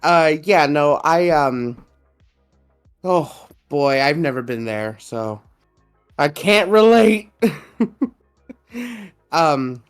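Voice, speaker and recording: A young man co-hosting a podcast in casual chat, with a high-pitched voice that gets mistaken for a woman's, laughing often. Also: animated, smooth, soft background music. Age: young adult. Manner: laughs often, slow and drawn-out. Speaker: podcast co-host.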